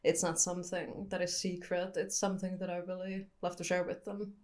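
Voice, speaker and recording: A woman's voice: on the low side, level tone, brisk, darkish tone. Thoughtful young woman from Finland. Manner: thoughtful, candid, searching for words. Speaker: photographer guest. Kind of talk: reflective interview answers.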